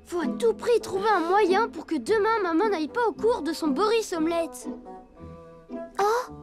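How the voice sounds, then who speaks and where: Very high-pitched cartoon kid. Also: female voice, moderate pace. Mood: scheming. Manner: childlike, emphatic. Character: small cartoon elephant child, frank and eager. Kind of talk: French children's cartoon voice acting. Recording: music under the voice.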